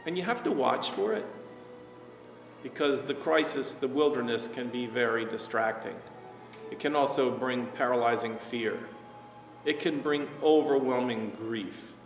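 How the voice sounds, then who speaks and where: A man in his sixties, a pastor from New Jersey, giving a reflective, conversational sermon from an armchair, sometimes dropping to a soft hush. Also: mid-range voice. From New Jersey, USA. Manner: reflective, gestures while talking, drops to a soft hush at key points. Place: living room, seated in armchair. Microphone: far camera. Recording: roomy echo, distant mic, faint music.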